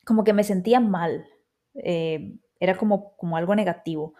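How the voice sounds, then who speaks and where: Mellow-voiced Costa Rican woman podcaster. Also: fairly low pitch, moderate pace. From Costa Rica. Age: 30s. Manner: reflective and chatty, with frequent quoted asides. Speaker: podcast host. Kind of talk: casual personal monologue.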